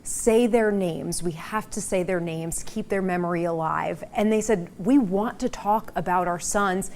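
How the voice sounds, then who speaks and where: A woman's voice: fairly low pitch, measured pace, a little mellow, slight background noise. A woman working as a TV news reporter, asking questions and recounting interviews in a discussion.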